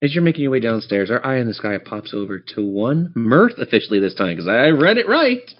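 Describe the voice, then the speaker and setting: A man's voice: mid-range voice, big pitch swings, a little fast. A man working as game master, improvising narration for a tabletop game over slightly muffled audio.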